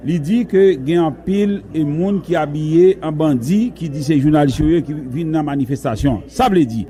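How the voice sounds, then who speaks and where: Brief: Haitian male activist at a press conference, warm dark tone, emphatic and repetitive. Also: fairly high pitch. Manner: emphatic, denouncing, repeats key phrases. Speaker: human rights director.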